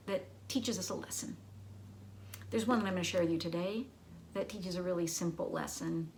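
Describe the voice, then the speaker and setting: A woman's voice: mid-range voice, gravelly, a little hiss or hum. A woman giving an educational talk to children in a teacherly way, with simple explanations and rhetorical questions. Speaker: children's librarian and storyteller.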